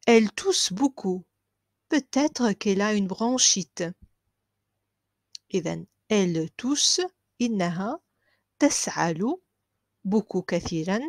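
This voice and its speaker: A woman teaching a language lesson. She repeats each phrase slowly and clearly, then explains it quickly. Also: medium pitch, lively intonation, no echo, clean studio sound. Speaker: French teacher.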